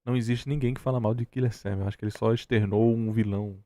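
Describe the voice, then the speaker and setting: Blunt Brazilian man, mellow dry voice. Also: on the low side, fairly even intonation, rapid-fire, no echo. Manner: blunt, argumentative, repeats himself for emphasis. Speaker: reaction stream host. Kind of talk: casual reaction commentary.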